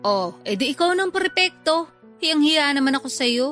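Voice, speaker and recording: Filipino elder sister, radio drama: high-pitched, wheedling, quick to take offence, faint music behind. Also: female voice, animated, fairly bright tone. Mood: sulky, hurt. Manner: defensive, pleading, sulky. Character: defensive, wheedling older sister, a grandmother. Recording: music under the voice.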